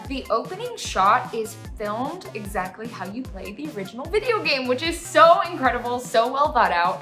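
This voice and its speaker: A young American woman hosting a casual movie review, gushing and emphatic, with slangy phrasing. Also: fairly high pitch. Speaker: movie podcast host.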